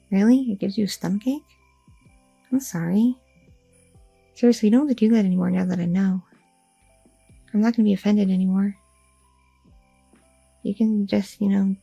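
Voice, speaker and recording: A tender, rambling young goth girlfriend, as a woman acts her out speaks in a dark, mellow voice with a gravelly, husky edge. She talks softly and intimately, right up close to a dry, close microphone, while faint music plays behind her. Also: medium pitch, dry recording, rough recording. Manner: soft, intimate, rambling with many fillers. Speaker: ASMR roleplay performer. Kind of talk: ASMR audio roleplay.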